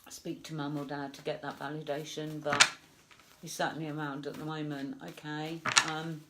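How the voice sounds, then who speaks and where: A woman's voice: sits low, little pitch movement, moderate pace, heavy background noise. A woman with a stuffed-up voice from a flu, giving a chatty live psychic reading with many fillers. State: congested with flu. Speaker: psychic medium.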